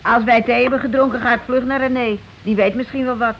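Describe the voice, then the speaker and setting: An actress playing a meek, anxious working-class housewife in a Dutch radio play, speaking plainly and hesitantly. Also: female voice, a little high, fairly even intonation, fast, natural voice. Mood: subdued. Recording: dull, noisy old radio recording.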